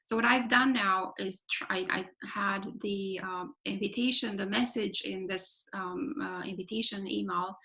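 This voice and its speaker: A woman's voice: mid-range voice, fairly even intonation, measured pace, few pauses, pushed and bright, poor audio. A woman giving a webinar talk over a video call, explaining things step by step with lots of "right?" and fillers. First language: Romanian. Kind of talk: webinar presentation.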